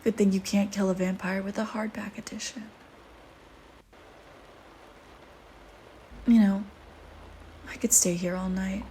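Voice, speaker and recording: A woman's voice: mid-range voice, flat delivery, normal speed, dark tone, slight background noise, natural voice, low-quality recording. A woman playing a flirty, teasing vampire in an intimate audio roleplay, with a sardonic, casual manner. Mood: fond, teasing. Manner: sardonic, teasing, casual murmuring with sighs and whispered asides.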